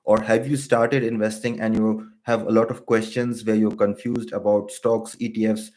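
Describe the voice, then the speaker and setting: Thirties man from Pakistan, finance YouTuber; low voice, hesitant, filler-heavy but steady explanations. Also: moderate pace. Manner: hesitant, many ums and uhs, steady and explanatory. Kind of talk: live-stream explainer with viewer Q&A.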